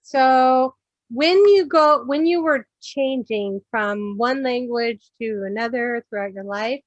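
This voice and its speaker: A middle-aged woman instructor with a fairly high voice, speaking slowly and easily as she puts questions to her class. The recording is dull and dry, with little room sound. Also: no room sound. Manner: relaxed, questioning, laughs often. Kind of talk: classroom discussion.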